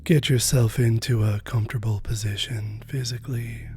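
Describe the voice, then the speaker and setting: A meditation guide speaking softly and gently, unhurried with long pauses, often dropping close to a whisper in a spiritual guided practice. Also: mid-range voice, fairly even intonation, soft background music.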